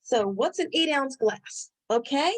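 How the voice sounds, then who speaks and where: A woman with a Rhode Island accent hosting a live health webinar, talking loosely and thinking out loud as she goes. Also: high voice, animated, unhurried. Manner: loose, conversational, thinks aloud while reading the chat. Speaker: webinar host.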